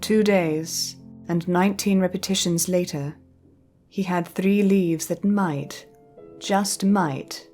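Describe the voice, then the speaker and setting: A woman storyteller reading scripted fiction narration in a measured, careful way. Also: fairly low pitch, a little mellow, faint music behind.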